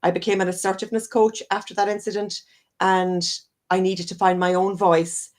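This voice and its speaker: A woman's voice: mid-range voice, level tone, normal speed, a little mellow. An Irish woman, a wellness coach, talking earnestly and at length as a guest on a live-streamed video call interview, often circling back and correcting herself. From Ireland. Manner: earnest, rambling, self-correcting.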